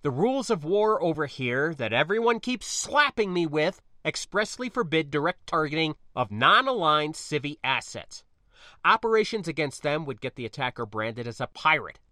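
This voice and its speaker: A man's voice: a little high, very animated, normal speed, pushed and bright, dry recording, studio quality. A man voicing a stubborn, wry space pilot in an audiobook, colloquial, defensive and insistent. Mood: matter-of-fact.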